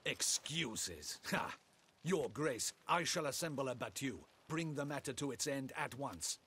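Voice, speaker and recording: A forceful, pompous guard captain. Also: male voice, mid-range voice, lively intonation, unhurried, husky. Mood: boastful, indignant. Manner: pompous, formal, scornful. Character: proud, blustering male captain of the guard. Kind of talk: video game cutscene acting.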